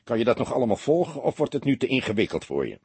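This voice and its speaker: Man playing a husky, lecturing old detective. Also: medium pitch, very animated, darkish tone, no echo, full character voice. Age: older. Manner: didactic, deliberate, mildly reproachful. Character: experienced older male police detective, patient lecturing mentor. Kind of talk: audiobook character dialogue.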